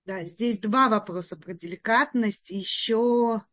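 A woman's voice: fairly high pitch, unhurried, a bit bright. A woman student in a class, hesitantly finding and reading out her question. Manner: hesitant, searching for her place.

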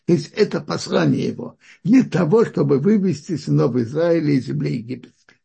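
A man teaching a Torah lesson with a deeply husky, gravelly voice. His tone sits a little high for a man yet sounds dark and mellow. He speaks haltingly, often breaking off and starting phrases over. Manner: halting, searches for words with frequent restarts. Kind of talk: religious lesson.

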